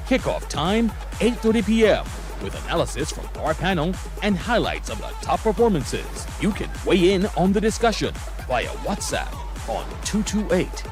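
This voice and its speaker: A man announces TV promos in a bright, punchy voice-over. His pitch is high and swings widely, and music plays clearly underneath. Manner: lively, punchy announcer delivery.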